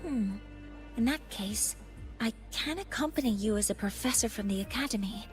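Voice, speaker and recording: An actress playing a composed woman professor in a game, speaking in a measured, formal way. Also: a little high, expressive, soft background music, close to an everyday voice.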